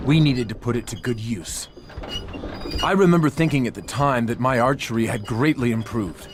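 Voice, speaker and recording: Casting: male actor as brooding young archer hero, mid-pitched, wry dramatic game narration over a prominent score. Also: lightly acted. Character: young male vigilante archer, brooding, self-serious island survivor. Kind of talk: scripted video game narration.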